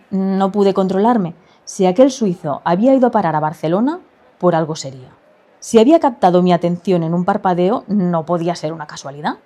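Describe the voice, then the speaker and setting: A woman's voice: medium pitch, dark tone. A woman reading a first-person literary short story as a scripted narration.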